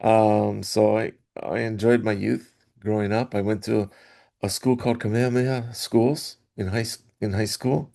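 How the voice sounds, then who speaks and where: A middle-aged man from Hawaii, a guest in an interview, telling his story in a rambling way with restarts and soft laughs. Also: fairly low pitch, steady tone, moderate pace, creaky. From Hawaii, Oahu. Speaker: guest, athletic director association executive. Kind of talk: casual interview talk.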